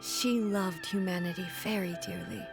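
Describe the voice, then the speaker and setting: A woman voicing a resolute, dignified female ruler in a video game, speaking in a formal, measured, earnest way. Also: fairly low pitch, very animated, music in the background. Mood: tender reflection. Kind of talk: video game dialogue acting.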